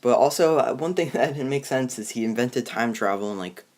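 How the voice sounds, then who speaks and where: A young man hosting a casual review chat, talking in a halting, rambling, informal way. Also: medium pitch, expressive, noisy background. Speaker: host of a movie review video.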